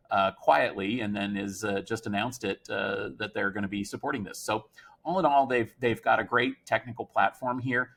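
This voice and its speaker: A man speaking unscripted as an industry commentator, halting often with hesitant pauses. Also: mid-range voice, creaky, a bit bright.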